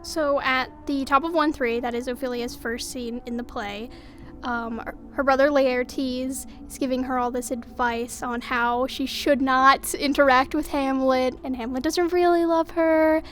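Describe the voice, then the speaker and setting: A woman's voice: high voice, steady tone, measured pace, fairly bright tone. A woman casually talking through a character from a play, informally and wryly putting the lines in her own words. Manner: informal, wry paraphrasing of the character. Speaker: actor discussing a play.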